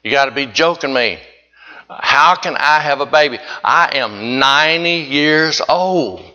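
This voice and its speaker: A man's voice: fairly high pitch, a little slow, pushed and bright, pitched above the performer's own voice. A man preaching puts on the voice of a very old woman who laughs in disbelief. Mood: disbelieving. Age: 90s. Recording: dull lo-fi recording.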